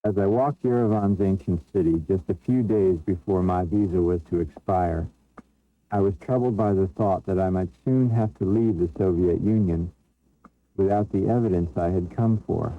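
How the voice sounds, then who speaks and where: Deep-voiced man narrating a documentary. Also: moderate pace. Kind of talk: scripted first-person documentary narration. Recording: narrow lo-fi sound with faint music. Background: faint music.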